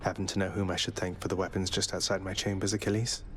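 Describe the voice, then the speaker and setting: A man's voice: low voice, flat delivery, fast talker, faint music behind, close to an everyday voice. A man playing a wry, cocky, rebellious young underworld prince in a video game, with a dry, sardonic delivery. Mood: wry, friendly.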